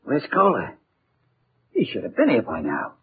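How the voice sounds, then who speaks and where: An actor playing a nervous, greedy grave robber who talks in tough gangster slang, in 1940s radio style. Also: male voice, medium pitch, wildly swooping pitch, moderate pace, breathy edge, full character voice. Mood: edgy. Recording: tinny old 1940s radio recording.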